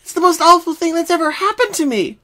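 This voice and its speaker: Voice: very high voice, expressive, fast talker, pushed and bright, pitched above the performer's own voice, full character voice. A performer voicing a frightened, exhausted young female pony with a quivering, pleading voice in a cartoon fan reading. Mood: panicked. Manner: whiny, dramatic, pleading.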